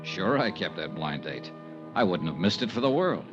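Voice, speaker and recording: A hard-boiled American male private investigator from fifties radio drama, world-weary and wisecracking. His lowish voice swings expressively through dry, flippant lines. Music sits clearly behind the vintage recording. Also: sing-song, normal speed, lo-fi sound. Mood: brooding narration. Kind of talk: 1950s radio detective drama acting and narration.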